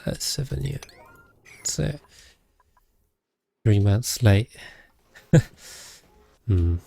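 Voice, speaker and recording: A British man with a calm, measured voice, giving casual game commentary and chatting with viewers as he streams. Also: mid-range voice, creaky, breathy edge. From Britain. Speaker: live streamer, host.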